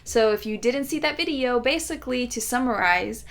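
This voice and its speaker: An American woman vlogs in a casual, chatty way, playfully exaggerating her complaints. Her voice sits a little high. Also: lively intonation, normal speed, a bit bright. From United States. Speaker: travel vlogger. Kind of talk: casual storytelling vlog.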